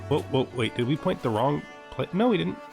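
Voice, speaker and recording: A man's voice: fairly high pitch, animated, a little fast, creaky. A man giving casual gameplay commentary, chatting and thinking aloud as he hosts. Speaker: host of a gaming series. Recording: music playing behind the voice.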